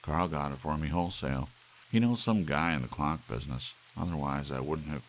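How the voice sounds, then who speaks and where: A man's voice: rumbling low, fairly quick, a little hiss or hum. A man reading an audiobook, voicing a scornful, impatient husband who complains bluntly. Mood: offhand. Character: Larry, scowling grudging husband, scornful and impatient.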